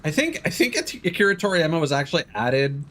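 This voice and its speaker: A man's voice: on the high side, very animated, fast, fairly bright tone. A man streaming a game, reading its text aloud and adding informal, wry commentary. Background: game music.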